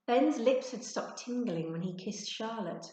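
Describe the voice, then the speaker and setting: A woman giving an expressive children's storybook narration, like a teacher reading to her class. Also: fairly high pitch, unhurried. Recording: echoey untreated room.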